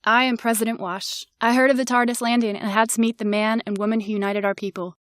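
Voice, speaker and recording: A woman acts as a composed president of an alien planet in a sci-fi audio drama. She is formal and measured, with a fairly high voice in a dry recording. Her manner is courteous and diplomatic. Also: level tone, no room sound, lightly acted. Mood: formal, gracious.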